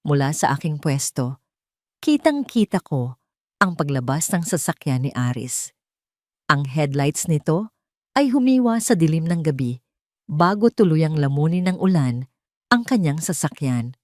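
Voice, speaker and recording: Woman, Filipino accent, lowish voice, dry close sound, measured dramatic storytelling. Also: sing-song, darkish tone, no echo. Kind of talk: scripted first-person dramatic story narration.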